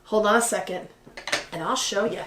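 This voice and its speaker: A woman vlogging casually to camera, a little hoarse and clearing her throat now and then, chatty and excitable. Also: mid-range voice, moderate pace, reverberant, background noise. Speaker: photography vlogger. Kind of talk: casual vlog talk to camera. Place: living room at home by a patio door.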